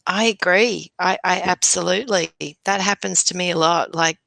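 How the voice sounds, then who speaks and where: Gravelly, bright-toned woman chatting. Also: fairly low pitch, steady tone, normal speed, dry recording. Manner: agreeable, chatty. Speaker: livestream co-host. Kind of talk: casual live chat.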